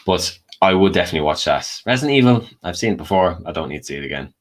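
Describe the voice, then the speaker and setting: A man's voice: deep voice, lively intonation, racing. A man talking casually as a panellist, giving opinions in an informal film debate. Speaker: guest panellist.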